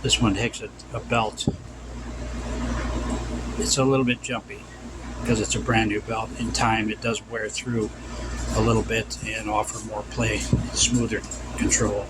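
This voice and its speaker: A man's voice: fairly low pitch, flat delivery, unhurried, long pauses. Man demonstrating hands-on, very noisy recording. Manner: instructional, repeats cautions. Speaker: vintage radio restorer demonstrating. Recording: loud hum and background noise.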